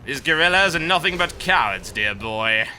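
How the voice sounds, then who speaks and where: A man doing a haughty, stiff-backed old British officer with a posh, clipped accent, talking down to his partner during a game. The voice is sharp and bright, with a touch of faint noise underneath. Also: fairly high pitch, expressive, normal speed. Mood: pompous. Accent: put-on posh British. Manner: pompous, haughty, clipped colonial-officer talk. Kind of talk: in-character co-op game commentary.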